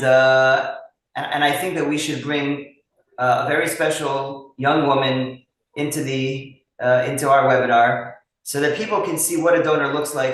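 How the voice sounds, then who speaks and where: A man's voice: mid-range voice, a bit bright, heavy reverb, low-quality recording. Man host firing rambling questions. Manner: rambling, piles up repeated questions. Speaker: webinar host. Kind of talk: webinar interview.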